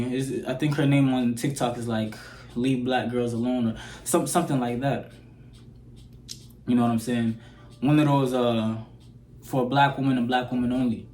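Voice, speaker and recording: An eighteen-year-old man with an American accent, talking at a middling pitch in a loose, rambling rant to camera. His voice bounces around a roomy space, and soft guitar music plays faintly behind him. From Sudan. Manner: rambling and conversational, often asks "you know what I'm saying?". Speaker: vlogger. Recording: roomy echo.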